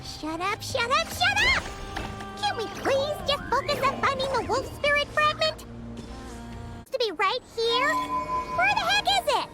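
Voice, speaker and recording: A super high squeaky little fairy girl in a game, bossy, voice all over the place, loud music behind. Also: big pitch swings, unhurried, exaggerated voice. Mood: impatient. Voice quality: squeaky, childlike. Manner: scolding, exclamatory. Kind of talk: video game voice acting.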